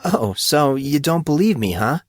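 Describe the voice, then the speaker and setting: A man's voice: on the high side, big pitch swings, unhurried, no room sound, studio quality, pitched above the performer's own voice. A man voicing a mysterious, wry and intimidating teenage girl in an audiobook. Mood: challenging. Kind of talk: audiobook character dialogue.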